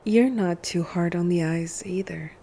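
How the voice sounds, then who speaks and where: A woman's voice: lowish voice, measured pace, vocal fry, dark tone. A woman playing a friendly, appreciative zombie apocalypse survivor in a comic dub drama. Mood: flirty.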